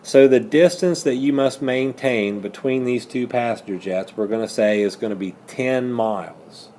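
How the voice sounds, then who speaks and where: A man's voice: medium pitch, moderate pace, mellow, a little hiss or hum. A man teaching a math lesson step by step, posing questions and then answering them himself. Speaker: high school math teacher.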